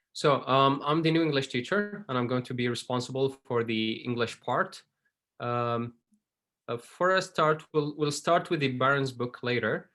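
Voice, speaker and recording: A male English teacher talking to his class over a video call, at an everyday middle pitch. He speaks in a friendly, patient way and drops casual fillers as he goes. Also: a bit bright.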